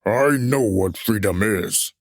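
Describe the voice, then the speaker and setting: A man's voice: lowish voice, takes it slowly, no echo, studio quality. A man playing a dismissive, smug patriotic official in a theatrical puppet show.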